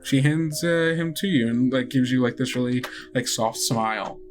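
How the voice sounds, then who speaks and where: A woman running a tabletop game as game master, narrating in a halting, conversational, improvised way. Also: very deep voice, darkish tone, slight background noise. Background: music.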